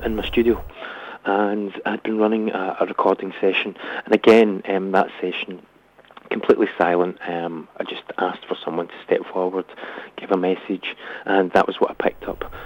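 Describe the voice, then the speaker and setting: A man answering interview questions as a guest researcher, halting often with ums. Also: low voice, low-quality recording. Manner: hesitant, lots of ums.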